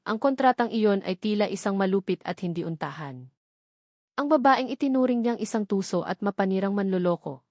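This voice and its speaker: A woman's voice: mid-range voice, darkish tone, no echo, clean studio sound. A woman reading a scripted romantic drama audiobook as its narrator.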